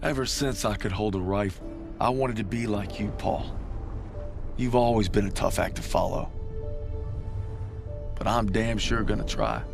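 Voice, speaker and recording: A man's voice: on the low side, fairly even intonation, normal speed, gravelly, pauses often, over music. A man playing an earnest young American soldier, narrating a letter home in a reflective, plainspoken way for a game. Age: young adult. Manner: informal, plainspoken.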